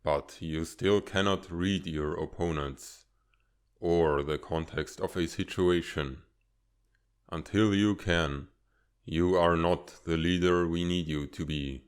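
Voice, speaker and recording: An actor playing a stern, demanding military father who speaks formally and deliberately, in a comic fan dub. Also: low voice, fairly even intonation, clear, resonant tone.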